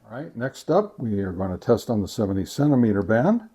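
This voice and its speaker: A man hosting a casual gear test review, talking to viewers in a halting, methodical way. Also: on the low side, big pitch swings, mellow, slightly rough. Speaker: host of a radio gear review channel.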